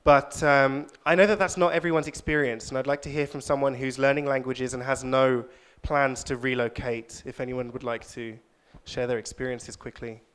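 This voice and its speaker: Male conference speaker, mid-pitched, steady and reflective. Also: smooth. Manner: reflective, measured closing remarks. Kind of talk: conference talk with audience questions. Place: lecture hall.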